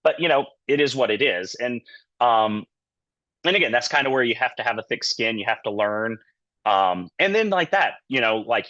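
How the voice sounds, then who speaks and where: A man's voice: on the low side, pushed and bright, dry recording, dull audio. A man in middle age, a sports medicine physician, giving an informal lecture in a conversational, loosely phrased way. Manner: conversational, loosely phrased, peppered with "you know" and "kinda". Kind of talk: informal lecture with anecdotes and career advice.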